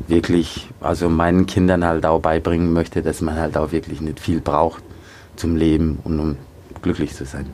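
Deep-voiced man, hesitant interview talk. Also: monotone, moderate pace, darkish tone, slight background noise. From Nigeria. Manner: hesitant, many fillers. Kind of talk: casual interview.

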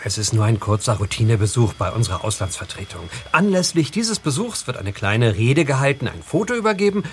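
An actor playing an earnest, anxious young foreign envoy in a German audio drama. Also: male voice, medium pitch, sing-song, brisk, slight background noise, close to an everyday voice. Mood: earnest explaining.

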